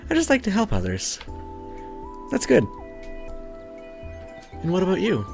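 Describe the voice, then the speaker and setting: A man's voice: sits high, wildly swooping pitch, unhurried, gravelly, faint music behind, pitched above the performer's own voice, full character voice. A Canadian man voicing a cheerful, helpful young woman at a summer camp, reading a visual novel aloud.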